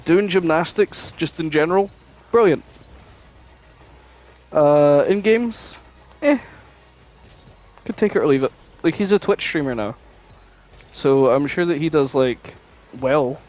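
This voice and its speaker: A man's voice: on the high side, animated, a little slow, pauses often, darkish tone. A man chatting casually as a podcast co-host, blunt, opinionated and free with swearing. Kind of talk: casual chat, reminiscing.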